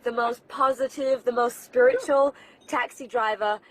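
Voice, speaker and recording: A woman's voice: fairly high pitch, unhurried. A woman radio host chatting in a bubbly, effusive way with a guest. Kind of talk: lively on-air chat.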